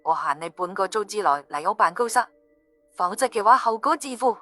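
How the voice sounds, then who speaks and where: A woman voicing a furious, domineering female bureau chief with a shrill, commanding delivery, in an audiobook. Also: lively intonation, a little fast, fairly bright tone, no room sound. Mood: furious, threatening. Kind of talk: audiobook character dialogue. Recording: music behind the voice.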